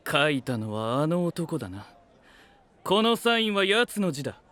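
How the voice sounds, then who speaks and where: A man's voice: on the high side, sharp tone, exaggerated voice. A man playing a cool, analytical young knight in an anime-style voice drama, with formal, dry and observant phrasing. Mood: suspicious. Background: music.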